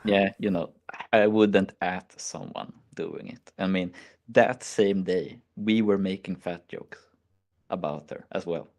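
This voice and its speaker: A man's voice: low voice, fairly even intonation, takes it slowly, a little mellow. A man with a Swedish accent chatting casually on a stream, rambling and mocking, with many fillers. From Sweden. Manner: rambling, mocking, frequent "like" and "you know". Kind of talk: casual chat.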